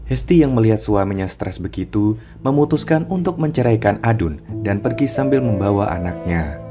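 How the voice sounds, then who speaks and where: Low-voiced male storybook narrator. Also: moderate pace, faint music behind. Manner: even, storytelling. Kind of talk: scripted narration.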